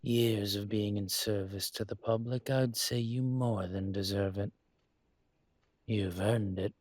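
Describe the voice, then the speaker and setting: A man playing a courtly, possessive dragon mafia boss in an intimate audio roleplay, speaking in a suave, teasing, formal way with a husky voice. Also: fairly low pitch, steady tone, takes it slowly, a little mellow, dry recording. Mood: gently reassuring. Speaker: voice actor.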